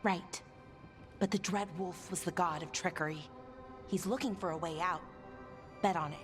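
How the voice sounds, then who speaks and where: A woman's voice: fairly low pitch, steady tone, moderate pace, close to an everyday voice. Woman as earnest young elf in a game, chatty and candid, faint music behind. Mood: wry. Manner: conversational, candid. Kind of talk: naturalistic video game dialogue.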